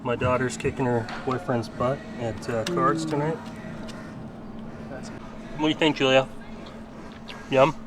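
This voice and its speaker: A man's voice: mid-range voice, a little slow, long pauses, noisy background. A man in his late thirties rambling casually to his viewers about his home chores, in a chatty, self-deprecating way. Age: 30s. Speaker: car channel vlogger. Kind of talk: casual vlog. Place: backyard and garage at home.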